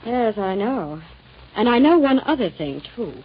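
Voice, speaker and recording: An American actress playing a sly, evasive tenant in an old radio drama, cool and pointed in delivery. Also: female voice, fairly high pitch, very animated, measured pace, breathy edge, heavily acted. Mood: conspiratorial. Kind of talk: 1940s radio acting. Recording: dull, noisy old radio recording.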